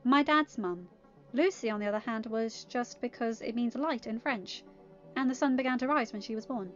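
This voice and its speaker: A cheeky teenage princess in a story reading, voiced by a woman. She talks high, teasing and emphatic, quick to get indignant. The sound is a little dull. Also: a bit bright, faint music behind, lightly acted. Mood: chatty.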